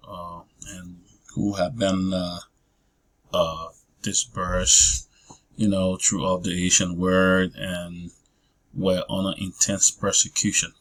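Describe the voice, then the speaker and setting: A man, giving a personal testimony talk as a guest speaker, hesitant with many drawn-out fillers and restarts. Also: low voice, a bit bright, slightly rough, low-quality recording. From Liberia. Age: 40s.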